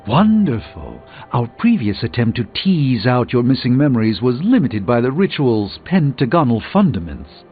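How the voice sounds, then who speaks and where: A man's voice: medium pitch, very animated, moderate pace, few pauses, phone-quality sound, faint music behind, natural voice. A man voicing an erudite, self-assured middle-aged sorcerer in a video game, speaking in precise, wordy, pompous phrases. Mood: brisk, lecturing.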